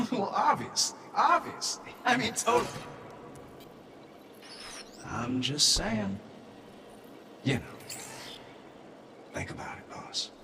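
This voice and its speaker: A man's voice: high voice, very animated, slow, long pauses, noisy background, full character voice. An actor voicing a brash male AI weapons maker in a video game, casual and cocky, explaining features and calling his user boss. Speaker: onboard AI guide.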